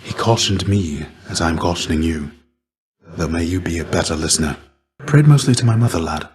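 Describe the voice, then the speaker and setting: Game role: a low-voiced veteran warrior turned mentor, measured, earnest, gently weary and formal. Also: male voice, lively intonation. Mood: solemn, reflective. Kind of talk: scripted video game voice acting.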